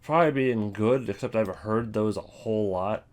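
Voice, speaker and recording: Low-voiced man, casual commentary. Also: normal speed, darkish tone. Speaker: host of a game voice line ranking video.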